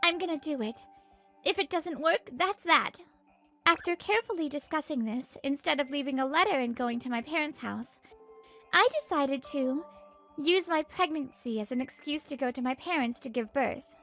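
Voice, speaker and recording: A woman's voice: high-pitched, lively intonation, moderate pace, fairly bright tone, faint music behind, poor audio. A woman voicing an earnest pregnant wife who narrates a manga dub, fed up but hopeful. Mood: resolute.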